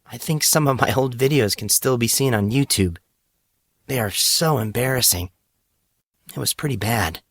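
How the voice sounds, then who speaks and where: A man voicing an earnest, embarrassed teenage schoolgirl in audiobook dialogue, sometimes halting. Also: mid-range voice, fairly bright tone, breathy edge, no room sound. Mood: wistful, embarrassed. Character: remorseful, embarrassed teenage schoolgirl who loves singing.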